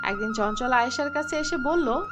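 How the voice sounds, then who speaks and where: A woman's voice: high-pitched, moderate pace, loud music behind the voice. A woman giving scripted fairy-tale narration for a cartoon. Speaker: story narrator.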